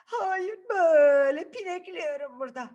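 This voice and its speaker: A woman voicing a very old, weary rooster in a children's fairy tale, lamenting with drawn-out wails. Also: very high voice, animated, darkish tone, lo-fi sound, pitched above the performer's own voice. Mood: dejected. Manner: lamenting, wailing drawn-out delivery. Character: very old rooster, woeful and weary, eager for company.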